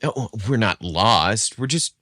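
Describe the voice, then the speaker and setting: A man's voice: on the low side, lively intonation, takes it slowly, sharp tone, no echo, clean studio sound, exaggerated voice. A man voicing a young hiker in a story, casually reassuring and teasing with a slightly hesitant, nervous edge. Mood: reassuring, unsure. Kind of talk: dramatized story narration.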